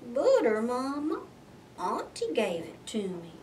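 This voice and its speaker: A woman voicing a meek, innocent little boy possum with brief, quiet replies, for a storybook. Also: fairly high pitch, wildly swooping pitch, takes it slowly, recorded from a distance, a little hiss or hum, exaggerated voice.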